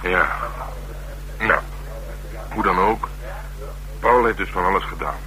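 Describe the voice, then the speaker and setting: An actor playing a streetwise, sardonic middle-aged police detective in a Dutch radio drama, talking bluntly and colloquially with dry teasing. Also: male voice, deep voice, slow pace, bright, forceful tone, exaggerated voice. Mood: matter-of-fact. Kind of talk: naturalistic radio drama dialogue. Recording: humming, noisy old radio broadcast.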